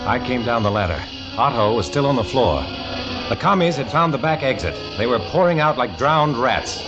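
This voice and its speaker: A man's voice: mid-range voice, sing-song, normal speed, lo-fi sound, over loud music, lightly acted. An actor playing a tough undercover agent who tells his own story in hard-boiled first-person narration, in a 1950s radio drama. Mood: tense.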